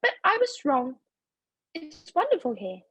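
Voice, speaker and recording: A woman's voice: high voice, wildly swooping pitch, measured pace, a bit bright, dry recording, dull audio, full character voice. A woman playing a giddy, blissfully cruel young woman in love, taunting and theatrical. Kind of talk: theatrical reader's-theatre acting.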